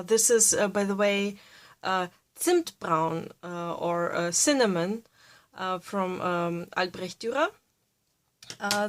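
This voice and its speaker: A woman with a Russian-German accent talks through a coloring tutorial at an unhurried pace, pausing on lots of fillers. Her voice sits at a middle pitch, and the sound is dry and close. She sometimes sinks to a soft near-whisper as she works. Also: no room sound. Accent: Russian and Bavarian German. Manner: hesitant, many fillers, giggly. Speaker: art tutorial creator. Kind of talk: casual drawing tutorial.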